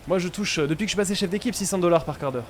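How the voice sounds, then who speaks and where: Fast-talking chatty man over game noise. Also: high-pitched. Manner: chatty, joking, swears casually. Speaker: streamer playing a doctor in roleplay. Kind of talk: in-character roleplay banter, then casual talk to chat.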